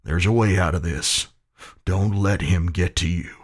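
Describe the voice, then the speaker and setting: A man's voice: mid-range voice, measured pace, pitched above the performer's own voice, lightly acted. A man voicing a hot-tempered American army captain, a defiant action hero in an audiobook, with a clenched, heroic delivery. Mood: tense resolve.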